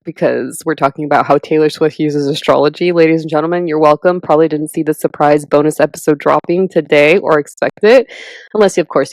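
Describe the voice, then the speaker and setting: A woman in her thirties co-hosting a podcast in casual chat, animated and chatty, with lots of "like" and "literally". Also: low-pitched, vocal fry, no echo. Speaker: podcast co-host.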